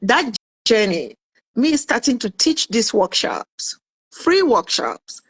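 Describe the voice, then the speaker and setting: A woman in her fifties from Cameroon tells her story in a relaxed interview, and her voice sits a little high. She is lively and acts out her own past remarks as she goes. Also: takes it slowly, slightly rough. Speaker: guest, author and food entrepreneur.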